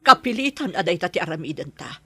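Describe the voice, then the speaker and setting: A woman's voice: on the low side, big pitch swings, fast talker, fairly bright tone, breathy edge, dry recording, heavily acted. A woman acting an anxious, protective wife and mother in an Ilocano audio drama. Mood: anxious, determined. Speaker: actor in a drama.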